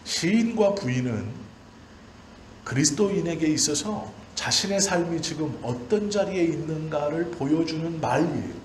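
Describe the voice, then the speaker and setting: A man's voice: fairly high pitch, expressive, normal speed. Man preaching in an echoey room. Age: 40s. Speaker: pastor. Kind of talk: sermon. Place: church hall during a service. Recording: roomy church echo, faint background noise.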